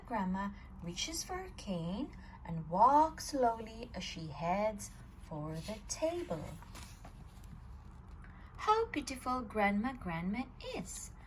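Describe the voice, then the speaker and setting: A woman reading a children's picture book aloud at storytime, telling it with an expressive, swinging voice. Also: mid-range voice, a little hiss or hum, rough recording. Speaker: storytime reader.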